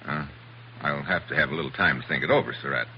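Wry hardboiled agent, old 40s radio show, low slangy voice, dull muffled recording. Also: male voice, fast talker, slightly rough, exaggerated voice. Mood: sardonic, guarded. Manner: casual, sardonic, slangy. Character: wry, tough male special agent hero. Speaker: lead actor of adventure series. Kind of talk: 1940s radio acting.

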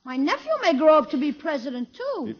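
A woman's voice: high-pitched, sing-song, a little fast. A woman playing a cheeky, wheedling American schoolboy in a 1950s radio comedy. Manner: wheedling, brash. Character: cheeky, pleading schoolboy nephew. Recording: dull vintage radio recording.

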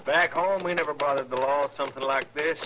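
An American actor playing a plain-spoken, aggrieved turkey farmer with a rural accent, folksy and earnest, in a radio western. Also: male voice, a little high, steady tone, fast talker, natural voice. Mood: reluctant, aggrieved. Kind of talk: 1950s radio western acting. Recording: dull, noisy old radio recording.

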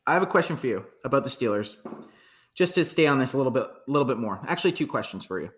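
A man's voice: mid-range voice, lively intonation, quick pace, a bit bright. A man from Canada chatting casually about football, frank and rambling, swearing freely. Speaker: podcast co-host. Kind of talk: casual sports chat.

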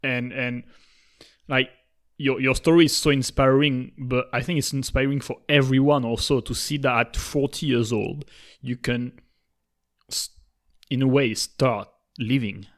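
Male co-host, reflective, halting delivery, mid pitch. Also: fairly even intonation. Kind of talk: interview conversation.

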